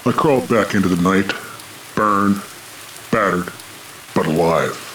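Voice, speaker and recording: A man's voice: low voice, unhurried, background noise. A man playing a weary but defiant dragon detective, narrating a noir radio play in terse, brooding, dramatic lines. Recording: echoey, loud music and rain behind.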